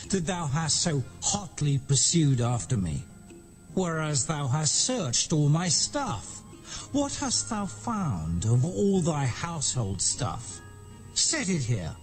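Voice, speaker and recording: A man's voice: fairly high pitch, exaggerated voice. An actor playing an aggrieved, indignant shepherd pleading his case in a dramatized scripture reading, declamatory and archaic in diction.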